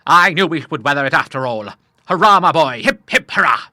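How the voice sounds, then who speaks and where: An old Admiral played by a male reader from an audiobook, bluff, boisterous and proudly fatherly. The voice is very forceful and sharp, swinging widely in pitch, and it is recorded close and dry. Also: sing-song, fairly quick, no room sound, heavily acted. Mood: jovial, booming. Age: older. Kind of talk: audiobook character voice.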